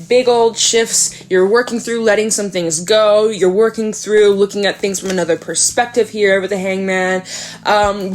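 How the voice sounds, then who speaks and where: A woman's voice: mid-range voice, a little hiss or hum. A young woman with a stuffed-up nose, reading tarot cards casually to her viewers, chatty and rambling with lots of fillers. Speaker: tarot reader.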